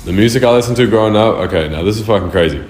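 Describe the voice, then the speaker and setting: Young New male artist, very deep, swears freely, echoey room. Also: racing, darkish tone, faint music behind. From New Zealand. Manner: loose, slangy and profane. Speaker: music artist. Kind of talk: casual answers to interview questions. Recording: roomy echo.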